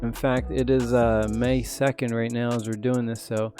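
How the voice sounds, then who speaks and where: A man's voice: medium pitch, flat delivery, moderate pace, a little mellow, soft background music. A man hosting a mobile gaming channel, still sick and losing his voice a little, rambling casually with lots of fillers. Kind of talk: casual commentary on a mobile game update.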